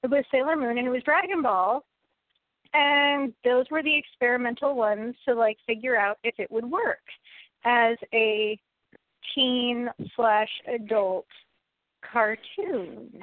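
A woman's voice: on the high side, a little slow, dry recording. An American woman chatting casually and giving emphatic opinions, repeating phrases for stress. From United States. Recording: thin phone-like audio.

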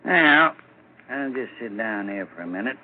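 An actor playing a folksy, easygoing but nosy village constable, casually probing in 1940s radio drama style. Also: male voice, medium pitch, animated, normal speed, close to an everyday voice. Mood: unruffled, curious. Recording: scratchy, narrow-band old radio recording.

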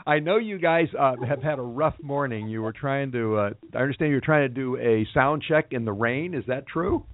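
A man hosting a radio show, reading a sponsor spot and interviewing guests, often pausing and restarting with fillers. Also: mid-range voice. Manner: halting, many fillers and restarts. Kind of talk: live radio interview and ad read. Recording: dry, phone-like lo-fi sound.

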